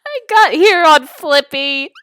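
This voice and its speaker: Woman acting eager, high-voiced young wife. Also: lively intonation, slow, pushed and bright, dry recording, clean studio sound, heavily acted. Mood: sweetly defiant. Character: young pregnant wife, eager, affectionate and stubborn.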